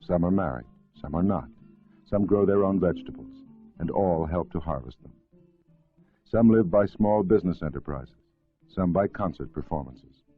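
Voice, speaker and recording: A deep-voiced man delivers formal, measured narration for an educational film. The soundtrack is thin and narrow like vintage film audio, with music playing faintly underneath. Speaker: documentary narrator.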